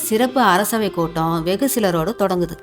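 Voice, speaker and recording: A woman narrating an animated story in a colloquial storytelling style. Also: medium pitch, moderate pace, music in the background.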